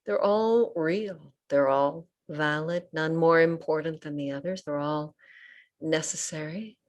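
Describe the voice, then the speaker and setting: Female meditation guide, low register, gentle delivery, short phrases with patient pauses. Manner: gentle, unhurried, pausing between short phrases. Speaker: Buddhist nun and meditation teacher. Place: online group session over video call.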